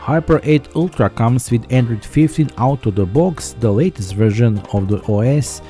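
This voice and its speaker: A man's voice: mid-range voice, expressive, moderate pace, music in the background. A man narrating a scripted product review as a tech reviewer.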